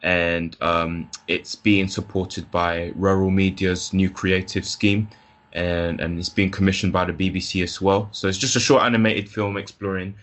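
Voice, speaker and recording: A young British man hosting a podcast in a relaxed, casual way, with loose phrasing. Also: low-pitched, moderate pace. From Britain. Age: young adult. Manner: relaxed, loose, with fillers and restarts. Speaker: podcast host.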